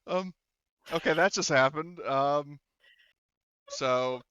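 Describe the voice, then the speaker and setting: A man's voice: fairly high pitch, takes it slowly, fairly bright tone, clean tone, dry recording, lo-fi sound. A man acting as game master in an online tabletop roleplaying session, talking casually with some salty language.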